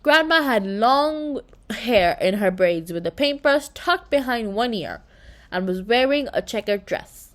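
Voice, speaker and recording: High-voiced woman reading a children's story aloud. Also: a little slow. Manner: expressive, with slips and self-corrections. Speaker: children's book reader.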